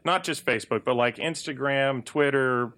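A man's voice: mid-range voice, moderate pace, bright, forceful tone. A man co-hosting a podcast, asking questions in a loose, joking, rambling way. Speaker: podcast co-host. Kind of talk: casual podcast interview.

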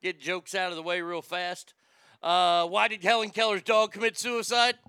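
A man's voice: high voice, little pitch movement, normal speed, pushed and bright, smooth, no room sound, clean studio sound. A man in his fifties hosting a morning radio show with loose, crude, joking banter. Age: 50s.

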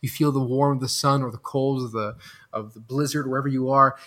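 A man's voice: mid-range voice, fairly even intonation, brisk, a bit bright. A man in his thirties, from Belgium with French as his first language, reflecting at length as a podcast guest, with many restarts. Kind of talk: casual podcast conversation.

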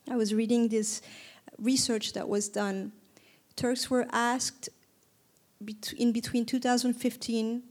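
A woman from Turkey in her thirties, speaking to an audience in a reflective way, with frequent pauses and restarts. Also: fairly high pitch, dark tone. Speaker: panel speaker. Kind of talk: conversational talk to an audience.

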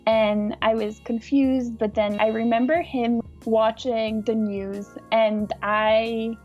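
A young woman from the United States telling her own story in a reflective, casual way, often pausing with "like". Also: on the high side, level tone, dull audio, soft background music. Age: young adult. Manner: reflective, with frequent "like" and restarts. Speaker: interviewee.

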